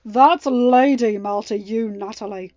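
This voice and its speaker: A woman's voice: on the high side, measured pace, darkish tone, dry recording, highs cut off, close to an everyday voice. A woman voicing a devoted young royal man, fiercely protective and emphatic, in a storybook reading. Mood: indignant, forceful. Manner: emphatic, stern, near a growl when angry.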